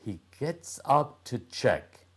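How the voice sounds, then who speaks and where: Male storyteller, children's read-aloud, extremely slow pace, lively intonation. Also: mid-range voice, slightly rough. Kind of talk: children's read-aloud narration with think-aloud remarks.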